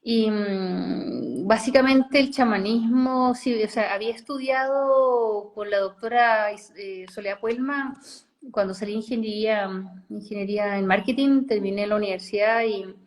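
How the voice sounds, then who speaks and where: A woman's voice: mid-range voice, a little slow, low-quality recording. Rambling woman from Chile and Costa Rica. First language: Spanish. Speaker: guest. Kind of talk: casual interview talk.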